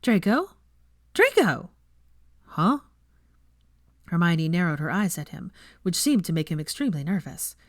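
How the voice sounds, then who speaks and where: A woman narrating a story in an expressive audiobook reading style. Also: on the low side, a little fast, dry recording, studio quality.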